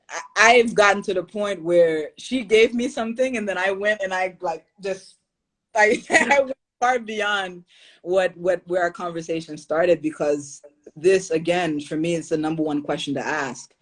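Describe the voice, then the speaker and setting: A woman's voice: fairly low pitch, normal speed, rough recording. Earnest, reflective woman from Haiti. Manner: earnest and reflective, laughs easily. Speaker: podcast guest. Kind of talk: casual interview talk.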